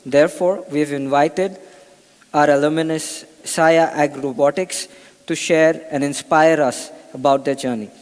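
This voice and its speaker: A man's voice: on the high side, steady tone, a little slow. A man hosting a startup demo day, introducing guests and interviewing them on stage. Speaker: event host. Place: large event hall. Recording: hall reverb.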